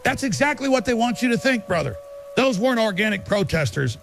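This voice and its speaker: A man playing a paranoid truth seeker phoning a radio show in an audio drama. His voice is high for a man and heavily gravelly, pressing his case with smug certainty. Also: sing-song, brisk, fairly bright tone, faint music behind, full character voice. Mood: smug, insistent. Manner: insistent, conspiratorial, self-assured. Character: male conspiracy researcher, paranoid, smugly certain truth seeker. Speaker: caller. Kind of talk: talk radio call-in, radio drama.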